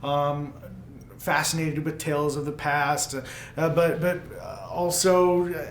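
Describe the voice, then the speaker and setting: A man, a tabletop player, casually and haltingly describing his game character. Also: on the high side, little pitch movement. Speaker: tabletop role-playing player. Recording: echoey room with faint noise.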